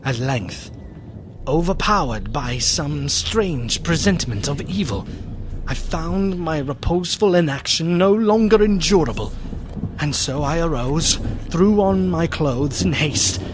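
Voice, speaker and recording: Man playing a slow, solemn gothic gentleman narrator. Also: medium pitch, noisy background. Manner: solemn, theatrical, deliberate, hushed then fervent. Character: gentleman narrator, anxious friend of a doomed nobleman. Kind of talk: gothic radio play narration and acting.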